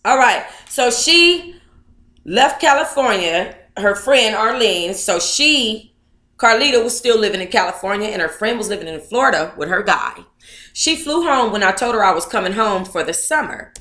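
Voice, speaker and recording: In a noticeably echoey room, a woman with a bright voice and a middle pitch reads aloud in a casual, conversational way. She is sassy and blunt, swearing freely as she comments. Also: animated, normal speed. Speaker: book club host reading aloud and commenting.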